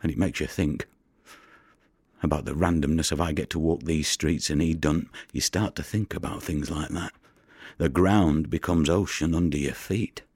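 An actor playing a world-weary, wary fisherman in an audio drama monologue, with a gravelly voice and a wry, colloquial way of telling it. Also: extremely low pitch, moderate pace, a little mellow, no room sound, clean studio sound. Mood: wary, uneasy.

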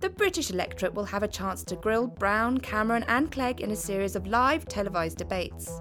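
A woman hosting a daily news show, presenting stories and asking people quick questions. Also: medium pitch, animated. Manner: brisk, prompting questions. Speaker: podcast host and interviewer. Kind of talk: news presenting and street interviews.

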